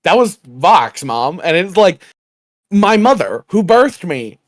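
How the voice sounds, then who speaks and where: A man co-hosting a podcast in casual chat, quiet and rambling, with a soft, slightly raspy, gravelly voice. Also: high voice, sing-song, takes it slowly, no echo. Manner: quiet, rambling, many fillers. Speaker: podcast co-host. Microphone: home studio microphone.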